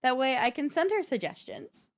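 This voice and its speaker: A woman's voice: high-pitched, huge pitch swings, normal speed. A woman hosting a gaming news show in a casual, chatty live-stream style, speaking informally and directly to viewers.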